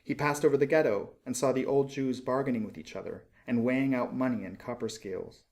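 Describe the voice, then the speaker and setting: A man reading a storybook aloud as scripted narration, in an expressive, measured storytelling manner. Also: medium pitch. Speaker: audiobook reader.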